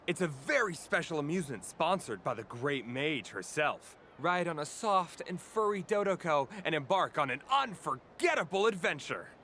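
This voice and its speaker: Ride attendant: young, showy scripted patter with casual slips, bright, very animated delivery, video game. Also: male voice, fairly high pitch, normal speed, hardly any pauses, a little hiss or hum, full character voice. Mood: showy, peppy. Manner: showy announcer patter slipping into casual bewilderment. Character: chirpy young male fairground ride attendant reciting script. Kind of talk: video game story dialogue.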